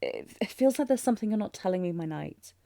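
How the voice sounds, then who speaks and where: A woman's voice: mid-range voice, lively intonation, fast, warm tone, dry recording, clean studio sound. A woman playing a tender, anxious young princess in a romantic audio drama, speaking formally and hesitantly with sighs. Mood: subdued, probing. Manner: formal archaic diction, hesitant, breathy sighs. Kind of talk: scripted romantic fantasy audio roleplay, intimate.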